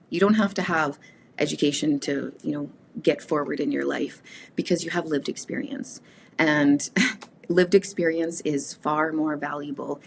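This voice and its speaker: A woman from Canada whose first language is Cree, speaking reflectively as an interview guest, with frequent pauses and restarts. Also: sits low, vocal fry, a little hiss or hum. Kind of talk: casual conversational interview.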